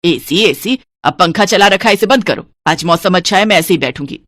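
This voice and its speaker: A woman's voice: fairly low pitch, lively intonation, brisk, fairly bright tone. A woman voicing a stingy, scolding middle-aged mother-in-law in a cartoon moral story, bossy and grumbling.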